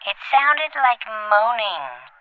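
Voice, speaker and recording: A woman's voice: on the high side, sing-song, slow, clear, resonant tone, no echo, phone-quality sound. A woman playing an earnest, chatty fourteen-year-old schoolgirl in an audio drama, with a plain, rambling teenage delivery. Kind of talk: scripted audio drama acting.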